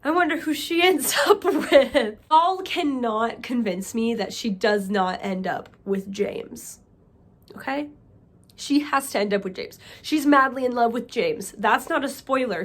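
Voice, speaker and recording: Chatty young woman, a bit nasal. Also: on the high side. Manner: excited, chatty, talks to viewers as friends. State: nasal from a cold. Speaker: book vlogger. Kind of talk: casual chat to camera.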